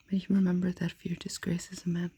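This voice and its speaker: A woman softly whispering a fairy tale aloud, as in an ASMR reading. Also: lowish voice, completely flat delivery, fairly quick. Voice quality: breathy. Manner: soft unvoiced whisper. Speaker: storyteller.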